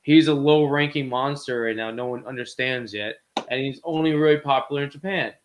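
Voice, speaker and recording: A man's voice: medium pitch, fairly bright tone. A man co-hosting a casual movie chat, rambling informally and often searching for his words. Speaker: podcast co-host.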